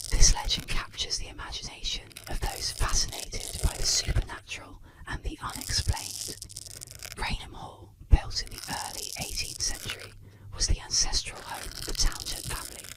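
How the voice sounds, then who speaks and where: A storyteller in a soft, breathy, unvoiced whisper close to the microphone, narrating scripted spooky tales in a soothing, measured ASMR style. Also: rough recording. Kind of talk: scripted ASMR narration of ghost stories and urban legends.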